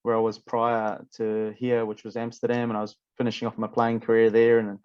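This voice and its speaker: A man from Fiji, a rugby coach chatting as a podcast guest, relaxed and repeating words as he thinks. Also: lowish voice, fairly quick, clear, resonant tone, no echo, lo-fi sound. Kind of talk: casual interview chat.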